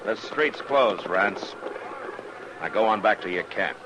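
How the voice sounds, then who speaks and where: A man's voice: on the low side, animated, takes it slowly, highs cut off. An American actor playing a stern, fearless frontier lawman in a 1950s Western radio drama, terse and commanding, narrating his own story. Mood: firm, challenging. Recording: noisy old radio recording.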